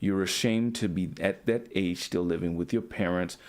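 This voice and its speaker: A man with a fairly low voice talks straight to the camera as a coach for young men. He is blunt and preachy, and he swears freely as he lectures. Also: moderate pace. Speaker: men's coach. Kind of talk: direct-to-camera motivational talk.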